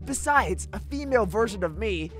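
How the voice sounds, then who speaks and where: A woman's voice: medium pitch, lively intonation, normal speed, fairly bright tone, soft background music, natural voice. A woman voicing a nervous young male cartoon hedgehog who stammers and rambles in a comic dub. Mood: chatty, casual.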